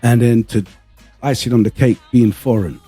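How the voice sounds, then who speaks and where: Husky man, halting and candid. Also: medium pitch, warm tone, faint music behind. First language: Greek. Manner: halting, candid, searching for words. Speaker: podcast host. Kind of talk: casual panel chat.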